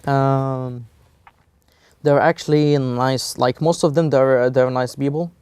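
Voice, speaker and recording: Halting young man from Egypt. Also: medium pitch, fairly even intonation, a little mellow, clean tone. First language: Egyptian Arabic. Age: young adult. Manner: halting, frequent fillers and restarts, blunt. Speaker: student guest. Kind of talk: casual interview answers. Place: small TV studio set.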